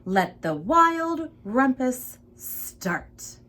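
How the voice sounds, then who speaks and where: A wild little storybook boy, voiced by a woman, bold and defiant. He gives orders in a commanding way, with slow, lively delivery. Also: sits high, pitched above the performer's own voice, full character voice. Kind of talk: storybook character voice.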